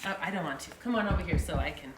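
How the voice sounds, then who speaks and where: A woman's voice: fairly low pitch, very fast, background noise. A woman hosting story time, reading a picture book aloud to children in an upbeat, chatty way. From Colorado, United States. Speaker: story time host and reader. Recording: echoey room.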